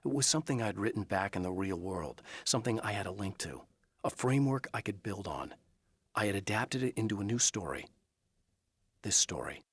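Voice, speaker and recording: A man's voice: on the low side, lively intonation, fairly quick, breathy edge. A man playing a weary, serious writer turned hunted hero in a video game, speaking in a grave, matter-of-fact way. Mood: brooding. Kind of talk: video game acting, brooding monologue and terse dialogue.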